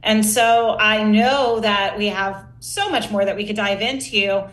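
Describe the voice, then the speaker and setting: A woman's voice: medium pitch, fairly even intonation, unhurried, a bit bright. A woman hosting a podcast interview in a conversational, emphatic and engaged way. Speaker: podcast host. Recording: roomy, echoey sound.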